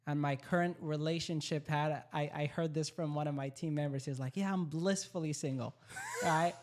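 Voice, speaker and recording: A man's voice: on the high side, fairly even intonation, moderate pace. A young man from Malaysia, a guest entrepreneur in a casual interview, upbeat and confident with light laughs. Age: young adult.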